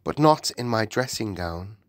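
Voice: medium pitch, animated, measured pace, no room sound, clean studio sound, natural voice. A storybook reader voicing a gracious, self-composed queen with a polite, formal manner.

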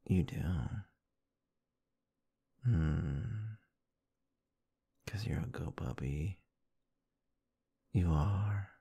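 A man doing intimate roleplay ASMR, murmuring softly and affectionately in a soothing, breathy way close to the microphone. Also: deep voice, extremely slow, long pauses, no room sound. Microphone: close-up microphone, dry.

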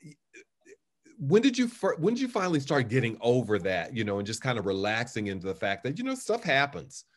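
An American man of about sixty hosting a casual interview over a video call, framing his questions in a halting, repetitive way. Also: medium pitch, huge pitch swings. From West Texas, United States. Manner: halting, repeating words as he frames questions. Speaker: interview host. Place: living room at home, on a video call.

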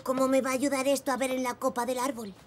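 A woman's voice: sits high, level tone, quick pace, a little hiss or hum, natural voice. A woman voicing a curious, eager five-year-old boy zebra in a children's cartoon, childlike and inquisitive.

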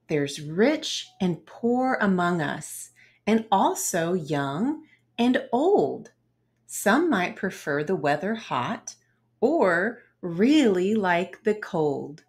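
Slow, lively woman reading a children's story. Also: mid-range voice. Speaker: host of children's read-aloud channel. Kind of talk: children's picture book read-aloud, scripted narration.

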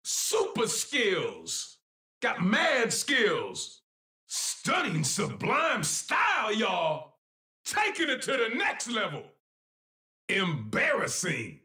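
Game announcer: flamboyant older male fixer, slow emphatic calls, roomy distant sound. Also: high voice, huge pitch swings, pushed and bright, exaggerated voice. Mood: impressed. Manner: showy, emphatic, drawn-out short phrases.

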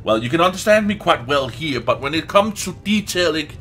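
A man who is not a native English speaker gives casual gameplay commentary, haltingly searching for words and swearing now and then. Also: a little high, animated, fairly bright tone, faint music behind. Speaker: let's play host.

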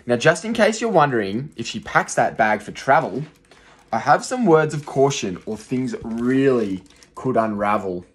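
A young male teacher reading a rhyming picture book aloud to young children, in a rhythmic, expressive way, seated in a small room and recorded on a phone close in front. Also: mid-range voice, moderate pace. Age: young adult.

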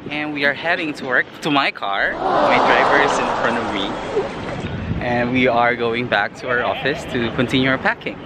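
A woman's voice: a bass voice, animated, normal speed. A woman from the Philippines, vlogging casually and chatting to the camera on a handheld phone. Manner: chatty, giggly, addresses the camera. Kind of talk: casual vlog narration. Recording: loud background noise, passing vehicles.

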